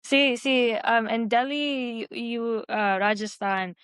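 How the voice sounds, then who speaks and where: A woman whose first language is Hindi speaks learner Spanish slowly and haltingly. Her voice is clear and smooth, recorded dry and close. She fills pauses with "am" and slips English words in between. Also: on the high side, a bit bright, dry recording. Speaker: podcast guest. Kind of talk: casual conversation in learner Spanish.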